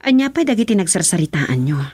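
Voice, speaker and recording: An actress in an Ilocano radio drama playing the governor's concerned mother, who speaks softly and asks questions. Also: medium pitch, sing-song, fast talker, breathy edge, no echo, clean studio sound. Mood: curious.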